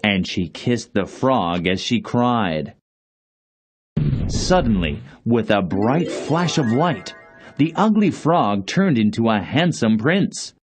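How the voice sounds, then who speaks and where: Slow, expressive male storybook narrator. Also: mid-range voice, faint music behind.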